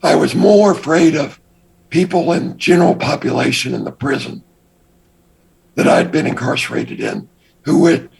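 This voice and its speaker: An older man with a raspy voice, a guest telling his past story in a measured, deliberate way. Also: on the high side, darkish tone, poor audio. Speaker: interview guest. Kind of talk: recounting his past in an interview.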